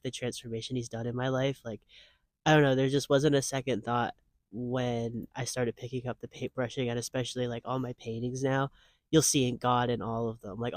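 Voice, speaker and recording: A man's voice: medium pitch, a little mellow, no room sound. A man of twenty-five answering interview questions in a casual, earnest way, rambling with lots of "like" fillers. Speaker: guest.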